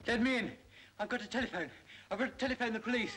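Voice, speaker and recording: A man's voice: extremely high pitch, quick pace. Shaken, weary young Englishman. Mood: urgent, exhausted. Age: young adult. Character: shaken, weary, urgent young accident survivor. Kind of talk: 1950s British film acting. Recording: noisy, distant old film soundtrack.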